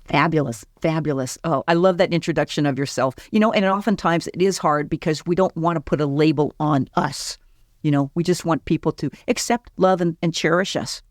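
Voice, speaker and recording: A woman's voice: deep voice, a little fast, dry recording, clean studio sound. A woman hosting a community radio interview, encouraging her guests as she asks questions. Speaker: radio host.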